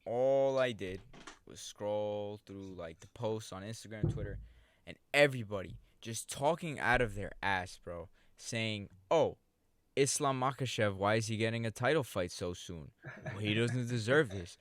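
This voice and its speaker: A man's voice: on the low side, a little slow, dry recording. A man co-hosting a sports podcast, ranting heatedly and swearing. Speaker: podcast co-host. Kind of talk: casual sports chat.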